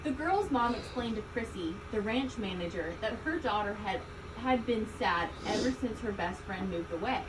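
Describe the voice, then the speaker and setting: A woman reading a children's story aloud, expressively, with deliberate emphasis on key words. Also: medium pitch, lively intonation. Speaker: storybook reader. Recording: distant mic, loud background ambient noise.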